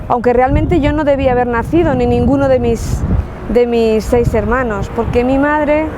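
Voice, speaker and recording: A woman's voice: fairly high pitch, normal speed, background noise. A woman from La Mancha in Spain with a Spanish accent, telling her personal faith testimony in an earnest, reflective way with frequent restarts. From Spain, Ciudad Real. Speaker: testimony speaker.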